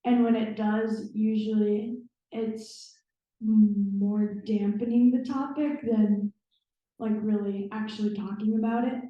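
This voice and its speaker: A woman's voice: mid-range voice, steady tone, slow pace. A young woman from Minnesota speaking on a panel, hesitant and casual. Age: young adult. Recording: echoey room from a distance, dull sound.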